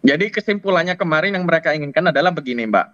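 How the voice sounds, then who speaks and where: Guy on a tv panel, highish forceful voice, arguing his point, muffled sound. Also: animated, rapid-fire, dry recording. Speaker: panel guest. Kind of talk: explanatory television discussion. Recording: dull, band-limited sound.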